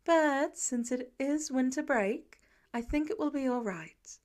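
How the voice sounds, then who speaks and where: A woman narrator voices a caring mother in a story reading. She is calm and measured, with a dry, wry edge. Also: sits high. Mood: gently dry.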